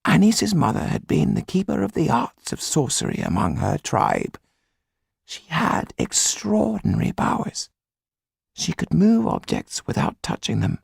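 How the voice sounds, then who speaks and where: Solemn older Romany storyteller, slow and grave, very breathy rasp with swooping pitch, audiobook drama. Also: male voice, wildly swooping pitch, dry recording, studio quality, heavily acted. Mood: hushed awe. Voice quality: whispery, gravelly, husky. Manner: slow, hushed, deliberate storytelling. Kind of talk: audiobook character reading.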